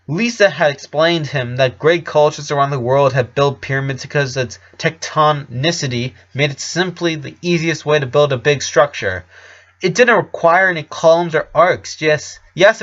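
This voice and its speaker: A man reading scripted fiction aloud as an expressive narrator. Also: fairly high pitch, lo-fi sound.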